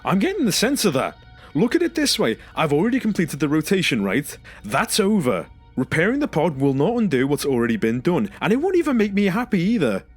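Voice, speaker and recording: A man's voice: on the high side, wildly swooping pitch, a little fast, slightly rough, faint music behind, heavily acted. A man acting in an audio drama as a distressed, sarcastic and exasperated survivor, indignant and pleading.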